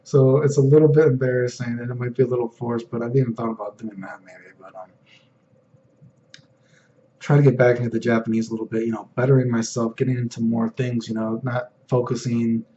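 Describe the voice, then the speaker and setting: A young man with a deep voice, rambling casually in a personal vlog, full of fillers and "you know what I mean.". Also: fairly even intonation, a little fast, warm tone, highs cut off, slight background noise. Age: young adult. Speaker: vlogger.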